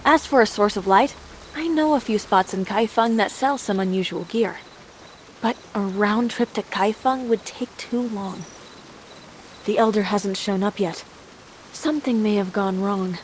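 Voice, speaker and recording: An actress playing a calm, resourceful young woman traveler who plans things out, in a video game cutscene. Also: mid-range voice, a little slow, slight background noise, close to an everyday voice, poor audio. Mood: thoughtful.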